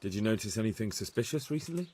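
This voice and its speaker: Earnest, husky young investigator. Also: male voice, fairly low pitch, lively intonation, rapid-fire, a little mellow. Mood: respectful. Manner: polite but insistent questioning. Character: earnest, dogged young man investigating for his lord. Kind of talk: video game cutscene acting.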